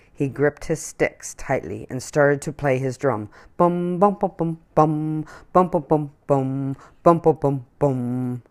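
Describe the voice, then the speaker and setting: A woman reading a children's picture book aloud in an expressive storytelling manner. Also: very deep voice.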